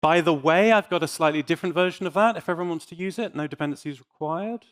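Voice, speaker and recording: A man's voice: fairly high pitch, fast. A man giving an informal conference talk on tech in a playful, dryly joking and mock-defiant manner. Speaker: conference speaker.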